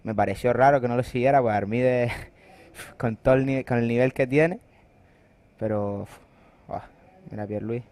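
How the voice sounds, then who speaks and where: A man's voice: medium pitch, normal speed, pauses often. Easygoing male surf co-commentator. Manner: relaxed, agreeing, short reactions. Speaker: bodyboarding co-commentator. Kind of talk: live sports commentary in Spanish.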